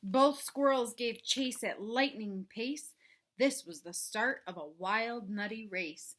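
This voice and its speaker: A woman reading a picture book aloud for young children, expressive and dramatic, with chatty asides to her listeners. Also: fairly high pitch, slow pace. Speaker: children's read-aloud presenter. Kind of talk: animated storybook read-aloud for young children.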